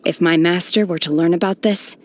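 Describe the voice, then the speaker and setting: An actress playing a solemn, aloof young woman trained by immortals in a video game, speaking in a formal, measured, restrained way with a touch of melancholy. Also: on the low side, steady tone, darkish tone, natural voice. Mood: calm, tender. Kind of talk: scripted video game character voice lines.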